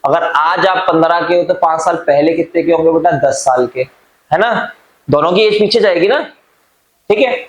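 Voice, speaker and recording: A man works through a school math problem, talking fondly to his students and tossing out questions that he answers himself. His voice sits a little high and rings around a bare, echoey room. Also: moderate pace. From India. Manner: coaxing, calls students "beta", asks then answers his own questions. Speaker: math teacher. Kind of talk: step-by-step math lesson mixing Hindi and English.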